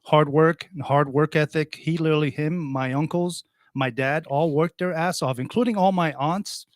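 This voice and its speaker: A man's voice: a little high, moderate pace, no echo, studio quality. A man in his forties from Portugal, hosting a radio talk show in a plainspoken, opinionated, conversational way. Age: 40s. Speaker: radio host.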